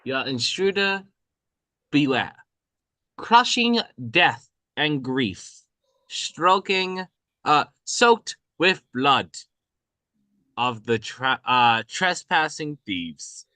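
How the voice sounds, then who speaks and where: A man playing a sarcastic kid who mimics an ominous British pirate at a table read, halting and drawn out. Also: a little high, sing-song, sharp tone, no echo, heavily acted. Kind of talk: table read of a film script.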